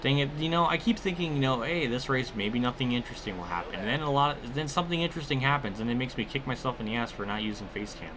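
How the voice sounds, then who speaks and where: A man's voice: medium pitch, fast, few pauses, noisy background. A man giving casual commentary over a racing video game, rambling and often cutting himself off with exclamations. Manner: rambling, self-interrupting, exclamatory. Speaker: gaming video host.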